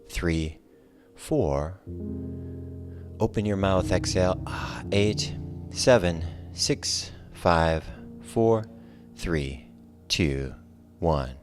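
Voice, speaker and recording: A man teaching a guided relaxation yoga class, giving calm, soothing, measured cues over soft music. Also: sits low. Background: soft guitar music.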